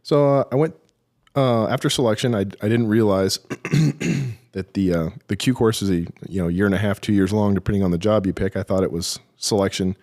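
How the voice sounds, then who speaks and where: A man's voice: fairly low pitch, brisk, a little mellow. A middle-aged military veteran, a man, telling his career story in an interview with dry, self-deprecating humor and many hesitations.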